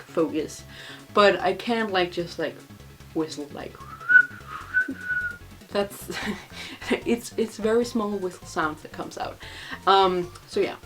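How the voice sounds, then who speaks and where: A young woman from Denmark with a Danish accent, chatting casually in a vlog and rambling with many fillers. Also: medium pitch. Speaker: vlogger.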